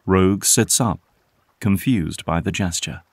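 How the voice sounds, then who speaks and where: A man's voice: low voice, measured pace, slightly rough, no echo. A man reading scripted narration for an audio drama. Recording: prominent music under narration. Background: music.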